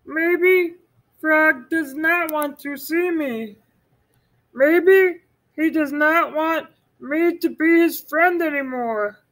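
A man voicing an anxious, eager male toad, high and pleading, in a storybook read-aloud. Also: slow pace, fairly bright tone, exaggerated voice, low-quality recording.

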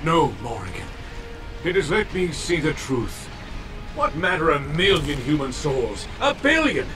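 High-voiced grandiose demon lord. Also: male voice, big pitch swings, a little slow. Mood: fanatical, grandiose. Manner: theatrical, pompous proclaiming. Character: grandiose, fanatical demon lord and dark messiah. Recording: distant voice under clear music.

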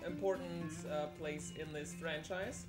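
A woman's voice: sits low, fairly even intonation, unhurried. A young woman whose first language is German, chatting through a live speedrun with many fillers. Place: indoor room at a live event. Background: game music.

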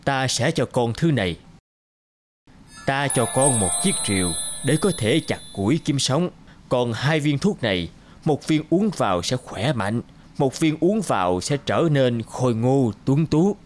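Solemn old mountain god. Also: male voice, medium pitch, very animated. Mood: benevolent. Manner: solemn, benevolent, measured. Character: kindly old male mountain god granting gifts. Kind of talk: animated fairy-tale cartoon acting. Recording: music behind the voice.

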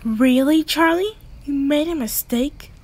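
A woman's voice: high voice, a little slow, a little mellow. A woman voicing a haughty, irritable young female pony in a cartoon drama, whiny, sarcastic and impatient. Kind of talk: animated cartoon audio drama.

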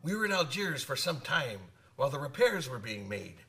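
An actor playing a talkative old sailor who spins hearty sea tales in old-salt style for a radio drama. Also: male voice, mid-range voice, sing-song, moderate pace, far from the mic, over music. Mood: reminiscing. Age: older. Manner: nautical old-salt talk, calls listener laddie.

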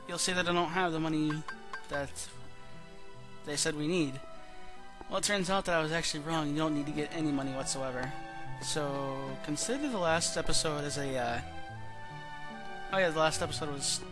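A man giving casual, rambling video game commentary as a let's-player, in an offhand manner. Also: a little high. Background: game music.

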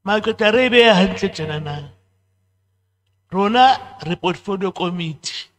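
A woman's voice: medium pitch, lively intonation, moderate pace. A middle-aged woman on the committee addressing a public hearing into a handheld mic, switching between Sesotho and English and repeating herself. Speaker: committee member. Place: community hall. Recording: long hall reverb.

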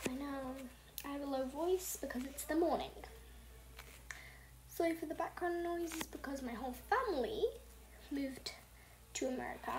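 A young woman hosting a casual gameplay video, talking softly and half-whispered, rambling and apologizing, recorded at home. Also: sits high, background noise. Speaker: gaming channel host. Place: home with family noise.

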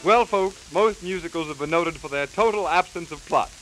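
A man delivering scripted 1930s promotional announcements with brisk, theatrical showman's hype. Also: fairly high pitch, a bit bright, faint music behind. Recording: hissy, crackly 1930s 78 rpm record. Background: record hiss and crackle.

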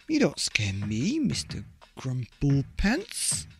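A man voicing a plucky, bossy little male mouse with a brisk, no-nonsense manner in a storybook. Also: medium pitch, huge pitch swings, vocal fry, faint music behind, heavily acted. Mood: firm.

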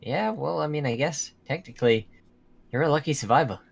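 A man's voice: on the high side, lively intonation, brisk, gravelly. A man playing an earnest, guilt-ridden young werewolf student, talking in a hesitant, conversational way in a game roleplay. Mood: uneasy, regretful. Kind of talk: casual scripted Minecraft roleplay.

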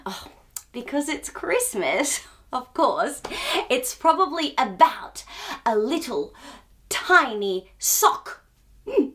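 A woman's voice: sits high, a bit bright. A woman in her thirties hosting storytime for young children, talking in a playful, exaggerated sing-song way. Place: small furnished room, indoors.

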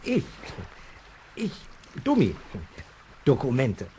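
An actor playing a timid, good-hearted, simple-minded man who talks in halting, childlike broken phrases in a children's radio play. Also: medium pitch, huge pitch swings, warm tone. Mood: hesitant, timid. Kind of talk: 1970s German children's audio play acting. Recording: old audio drama, faint background noise.

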